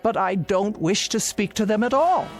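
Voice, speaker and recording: A woman's voice: mid-range voice, animated, normal speed, faint music behind, close to an everyday voice. An older woman playing an anxious, querulous elderly invalid in a radio drama, speaking formally and insistently. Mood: dismayed.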